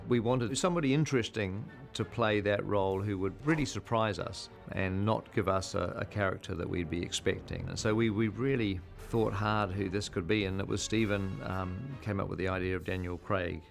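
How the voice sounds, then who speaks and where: A filmmaker speaks thoughtfully in a documentary interview, restarting his phrases as he goes. His voice is low and gravelly, with soft music underneath. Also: steady tone, normal speed, few pauses.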